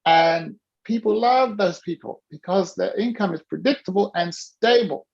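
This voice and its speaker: Gravelly, animated male business coach. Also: sits high, moderate pace, muffled recording. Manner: animated and teasing, coaching a participant by name. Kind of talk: interactive coaching on a video call.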